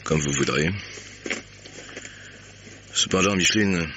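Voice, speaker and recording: Cool, insistent businessman: very deep, sharp-edged voice, slightly noisy old radio play recording. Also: takes it slowly. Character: cool, insistent male company executive. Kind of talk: old French radio drama acting.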